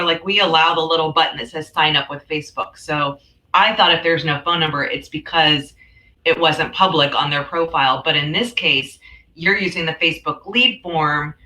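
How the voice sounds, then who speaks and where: A woman's voice: deep voice, steady tone, normal speed, sharp tone. A woman hosting a casual online discussion, talking in a chatty, informal way. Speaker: host. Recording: roomy, echoey sound.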